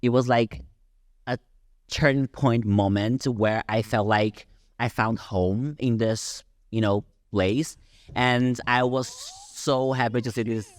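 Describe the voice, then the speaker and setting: A man's voice: mid-range voice, steady tone, slow pace, dry recording. Chatty Swiss man telling a story. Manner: chatty, storytelling, addresses viewers as you guys. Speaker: drag queen podcast co-host. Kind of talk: casual chat reviewing a show.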